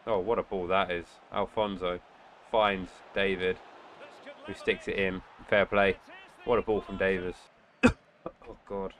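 Casting: young man, nasal from a cold, lowish voice, gaming commentary with sudden excited shouts. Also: measured pace, long pauses. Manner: excitable outbursts during play, muttering between. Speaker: gaming channel host. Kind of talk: casual gameplay commentary. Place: indoor room at home.

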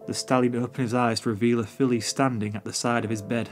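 A man's voice: medium pitch, little pitch movement, fast talker. A man reading scripted story narration as the narrator. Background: music.

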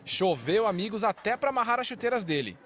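A man's voice: high-pitched, big pitch swings, a little fast, a loud crowd. A man, narrating a news report in a light, upbeat manner. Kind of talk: news voice-over.

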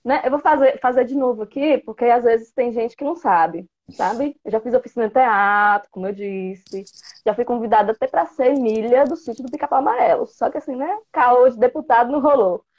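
A woman's voice: on the high side, brisk, darkish tone, dull audio. A woman in her thirties from interior Bahia with a Bahian Brazilian Portuguese accent, chatting playfully as a guest over a video call on an earphone mic. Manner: chatty, playful, gestures a lot. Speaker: guest, biology teacher. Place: small room indoors. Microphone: earphone headset mic on video call.

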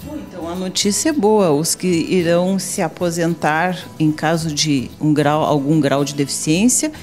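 A Brazilian woman in her forties hosts a TV interview, chatting her way into each question. Her voice sits on the low side for a woman. Faint music runs underneath. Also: moderate pace, a little mellow. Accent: Brazilian Portuguese. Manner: chatty, leading questions with fillers. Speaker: TV host. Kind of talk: interview hosting. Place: office meeting room. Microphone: handheld mic.

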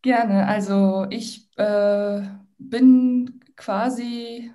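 A woman's voice: fairly high pitch, fairly even intonation, very slow, warm tone. A young woman from Iran, a guest social entrepreneur introducing herself on a video call in a friendly way, with many fillers and restarts. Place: home room, indoors. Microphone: laptop mic on video call.

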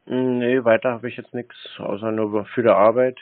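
A man with a medium-pitched voice gives short, noncommittal replies on a casual call. He often just hums in agreement. The audio is dry and narrow, like a landline. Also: level tone, moderate pace, no room sound. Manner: laconic, noncommittal, brief answers with many "mhm"s. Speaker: call recipient, customer. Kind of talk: casual phone conversation. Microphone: landline telephone. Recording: dry but tinny landline phone audio.

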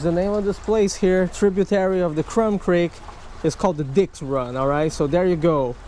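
A man's voice: high-pitched, a little mellow, slight background noise. A man in his thirties talking to camera beside a creek while fishing, exclaiming over each catch and chatting to his viewers. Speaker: fishing vlogger. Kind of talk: casual vlog narration to camera. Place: outdoors by a wooded creek. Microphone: camera mic, handheld or chest-mounted.

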